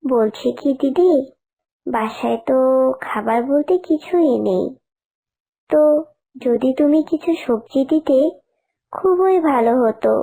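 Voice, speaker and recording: Humble fox wife in a cartoon, female performer: very high, dark-toned voice, needy and coaxing. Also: lively intonation, slow, pauses often, heavily acted. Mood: meek, asking. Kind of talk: cartoon dialogue.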